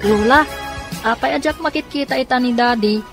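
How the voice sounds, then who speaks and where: Woman playing pleading, high-voiced Ilocano child. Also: moderate pace, over music. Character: pleading young child missing their father. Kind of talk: radio drama acting.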